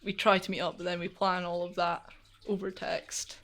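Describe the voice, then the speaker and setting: A teenage girl who has just finished school, asking an older woman interview questions in a hesitant, soft way. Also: lowish voice, level tone, moderate pace, slight background noise. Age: teens. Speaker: youth interviewer.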